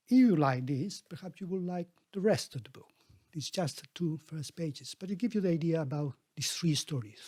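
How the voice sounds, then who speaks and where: Middle-aged man, slightly high pitch, emphatic author reading, lively melody, close dry sound. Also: breathy edge, dry recording. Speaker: author reading own book. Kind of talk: expressive book reading with casual introduction.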